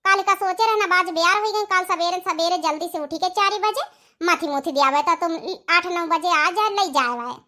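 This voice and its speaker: A woman voicing a chatty, caring young married village woman in an Awadhi comedy cartoon, with a rustic, drawn-out way of talking. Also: very high voice, sharp tone.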